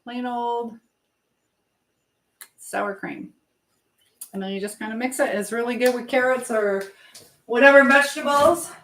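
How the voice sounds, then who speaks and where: A woman's voice: fairly high pitch, measured pace, long pauses, fairly bright tone. A woman hosting a casual live stream while she cooks, chatting informally to viewers and reading out their comments. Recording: clear background noise and clicks.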